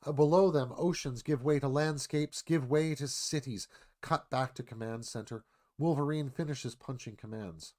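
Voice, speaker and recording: A man's voice: mid-range voice, normal speed, a little mellow. A man reading dramatic scripted narration as screenplay narrator, in clipped phrases.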